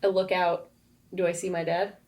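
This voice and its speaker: A woman's voice: on the low side, flat delivery, normal speed, a little mellow. A woman player in a tabletop roleplaying game, casually improvising as her character and describing her actions.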